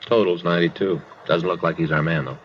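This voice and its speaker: An American actor playing a brisk, businesslike police detective in 1950s radio drama style. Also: male voice, deep voice, fairly even intonation, close to an everyday voice. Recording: dull, noisy vintage 1950s radio recording.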